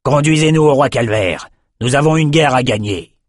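A man's voice: mid-range voice, expressive, normal speed, no echo, studio quality, pitched above the performer's own voice, natural voice. A man voicing a stern, commanding warlord general. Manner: clipped, commanding.

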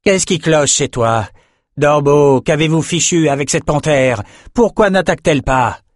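A man's voice: mid-range voice, normal speed, no echo, studio quality, voice pushed up, natural voice. A man voicing a ruthless warrior woman who commands gladiators and barks imperious orders, in a storybook reading. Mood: angry, barking.

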